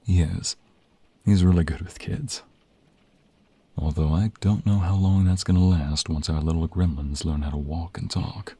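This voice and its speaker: A man performing an ASMR romantic roleplay as an affectionate, teasing mobster husband and young father, in a breathy, husky voice with intimate, drawn-out delivery. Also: sits low, warm tone, no room sound, heavily acted. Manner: intimate, drawn-out, playful delivery with sighs and murmurs.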